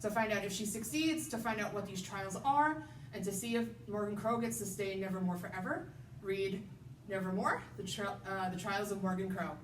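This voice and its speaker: Casting: female librarian presenting prepared book picks, recorded off-mic with clear background noise. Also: medium pitch, moderate pace. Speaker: children's librarian. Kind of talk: scripted book recommendation. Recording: roomy, distant mic, noisy background.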